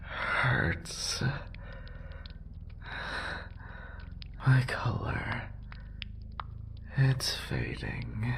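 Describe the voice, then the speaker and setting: A man playing a deranged, possessive male lover in a horror audio roleplay, speaking in breathy, halting, intimate fragments. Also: sing-song, heavily acted. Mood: wounded, blissful. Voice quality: breathy, whispery, creaky low voice. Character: obsessive, deranged yandere male lover, tender and murderous.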